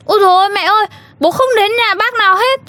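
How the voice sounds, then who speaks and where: A woman's voice: very high voice, fast talker, sharp tone, no room sound. A woman playing an anxious young daughter who whines and frets. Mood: worried. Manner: whiny, childlike. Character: anxious young daughter, whiny and easily upset.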